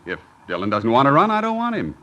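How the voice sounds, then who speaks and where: Earnest, animated coach. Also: male voice, mid-range voice, highs cut off. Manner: plain-spoken, deferential, halting. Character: earnest, hesitant, decent male college track coach. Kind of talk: 1950s radio sitcom acting. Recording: lightly noisy 1950s radio recording.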